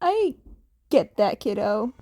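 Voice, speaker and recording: A woman's voice: medium pitch, big pitch swings, slow, mellow, full character voice. A woman voicing an upbeat, kindly adult male hero in a fan fiction audiobook, doting and sympathetic in manner. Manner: gushing, sympathetic.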